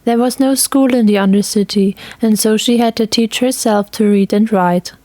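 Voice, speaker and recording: A woman's voice: on the high side, fairly even intonation, normal speed, dark tone, no echo. A woman reading scripted story narration as the narrator of an audio tale.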